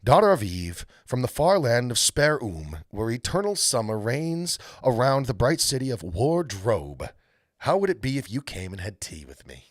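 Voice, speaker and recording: A man plays an excitable male faun from a storybook, fussy and courteous. His delivery swings widely in pitch and stammers with politeness, in a slightly husky voice with a faint melancholy. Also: moderate pace, dry recording, clean studio sound. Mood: courtly, inviting. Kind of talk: storybook read-aloud character voice.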